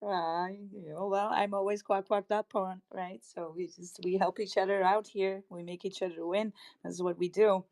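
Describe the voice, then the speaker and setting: A woman's voice: mid-range voice, steady tone, moderate pace, a little mellow. A woman from the Netherlands hosting a live online audio chat, bubbly and chatty, laughing often and trailing off mid-sentence. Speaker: host of a live crypto audio space. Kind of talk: casual live-stream chat.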